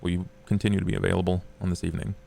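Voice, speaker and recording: A man's voice: sits low, little pitch movement, racing, gravelly, mellow, slight background noise. A man playing a solemn, courteous vampire leader in a tabletop game, with grave, formal wording. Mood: grave, earnest.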